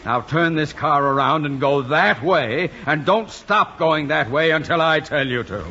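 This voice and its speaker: A man's voice: on the high side, measured pace, sharp tone, full character voice. An actor playing a blustery, bossy, easily exasperated older man in an old radio comedy. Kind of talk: 1950s radio comedy acting. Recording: muffled, slightly noisy old radio recording.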